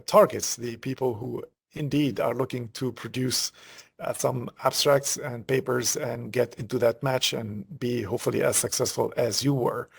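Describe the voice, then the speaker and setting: A man hosting a conversational online interview, pausing often in the middle of his questions. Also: medium pitch, vocal fry, slightly rough. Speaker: interview host.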